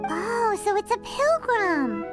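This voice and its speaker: Extremely squeaky little fairy companion. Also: female voice, big pitch swings, slow, over music, heavily acted. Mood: wry. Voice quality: squeaky, childlike. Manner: chirpy, cheeky commentary. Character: tiny childlike floating fairy companion, chirpy, cheeky and blunt. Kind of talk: anime game voice acting.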